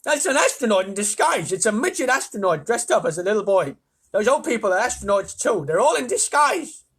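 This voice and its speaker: Storybook role: man performing furious, bossy ground control chief. High, husky, shrieky, perpetually exasperated. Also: big pitch swings, a little slow, fairly bright tone, exaggerated voice. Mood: frantic, outraged. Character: apoplectic ground control chief barking orders.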